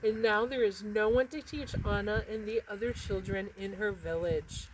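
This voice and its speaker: A woman narrating a children's picture book expressively, with dramatic pauses and emphasis on words. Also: mid-range voice, fairly even intonation, noisy background. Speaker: read-aloud storyteller.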